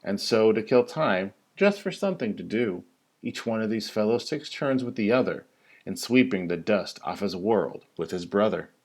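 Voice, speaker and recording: A man reading a children's rhyming picture book aloud like a teacher, with a theatrical, singsong delivery and drawn-out emphasis. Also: on the low side, animated, darkish tone.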